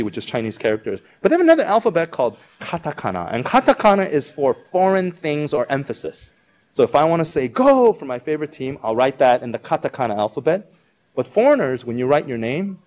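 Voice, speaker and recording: A man giving an informal talk to a live audience, conversational and animated, acting out quoted reactions. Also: mid-range voice, highs cut off. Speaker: speaker presenting a school project.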